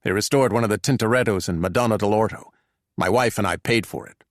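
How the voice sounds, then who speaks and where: A man's voice: lowish voice, fast, dry recording, clean studio sound, lightly acted. A man voicing a blunt, boastful, wealthy American man in his sixties for an audiobook. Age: 60s. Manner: blunt, crass.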